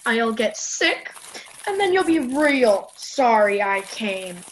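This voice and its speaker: A teen girl voices a sulky hiker who grumbles about every step of the trail. The voice sits high and the lines drag slowly. A faint noise runs under this home-recorded radio play. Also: sing-song, rough recording. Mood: complaining. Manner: complaining, grumbling. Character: whiny girl named Lauren, complaining hiker. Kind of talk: student radio play reading. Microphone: home mic on a video call.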